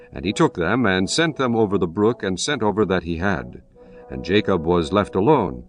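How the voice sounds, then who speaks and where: Deep solemn male narrator. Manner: solemn, measured. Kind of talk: dramatized scripture reading.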